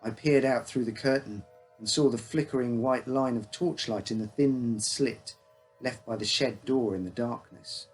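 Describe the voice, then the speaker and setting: A man narrating a scripted, uncanny first-person story in a measured, expressive storytelling manner. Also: medium pitch, husky, faint music behind, poor audio. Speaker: author reading own story.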